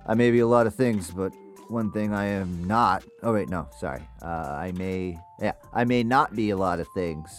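Man playing very deep-voiced awkward ghost hunter. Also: faint music behind, close to an everyday voice. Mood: awkward, earnest. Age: 30s. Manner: halting, rambling, self-conscious. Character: awkward, lonely, earnest young male ghost hunter. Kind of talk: confessional podcast-style audio drama narration.

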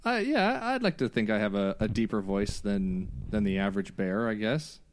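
A man's voice: lowish voice, lively intonation, moderate pace, a little hiss or hum. A man guesting on a podcast, chatting casually and hesitantly, with lots of fillers. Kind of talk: casual chat.

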